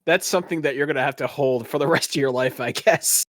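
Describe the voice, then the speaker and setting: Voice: mid-range voice, fast talker, no room sound, clean studio sound. A game master running a tabletop game in an informal, improvised narrating style.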